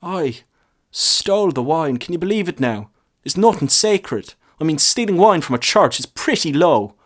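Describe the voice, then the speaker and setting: A man voicing a world-weary, stern parish priest in a story, with a measured, solemn, admonishing manner. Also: a little high, expressive, voice pushed up. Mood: weary, indignant. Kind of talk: short story character reading.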